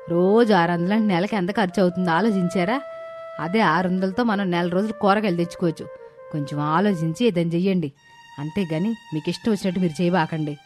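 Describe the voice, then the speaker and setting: A woman's voice: on the low side, fairly quick, faint music behind, close to an everyday voice. A woman voicing a stern, angry mother-in-law who scolds and commands in a cartoon moral story. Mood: angry, scolding.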